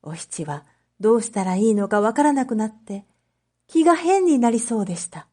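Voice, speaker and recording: A woman narrating a scripted story as a teacher for language learners, reading carefully in clear phrases. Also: on the high side, big pitch swings, moderate pace, dark tone. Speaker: teacher narrating read-aloud material.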